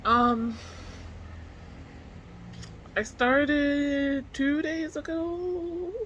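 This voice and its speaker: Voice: high voice, steady tone, drawn-out words, a bit bright, highs cut off. A book vlogger of unclear sex chats casually in an informal, slangy way, musing aloud. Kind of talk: casual reading vlog chat. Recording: clearly noisy room ambience.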